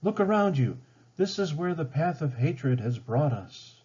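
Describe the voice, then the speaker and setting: An earnest young chief's daughter voiced by a male storyteller, pitched well up, heartfelt and pleading. It is storybook reading with a slightly dull, band-limited sound. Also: very animated, unhurried, mellow, husky, heavily acted. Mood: defiant, heartfelt.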